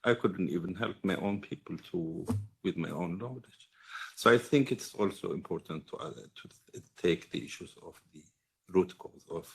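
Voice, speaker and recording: A man from Sweden making an earnest, halting impromptu comment at a political roundtable. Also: low-pitched, vocal fry, breathy edge, rough recording. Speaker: audience commenter, activist and medical doctor.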